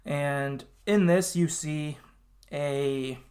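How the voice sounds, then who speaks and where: A man's voice: a little high, extremely slow, darkish tone. A man hosting a casual gaming podcast, talking informally and often qualifying what he says. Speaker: podcast host.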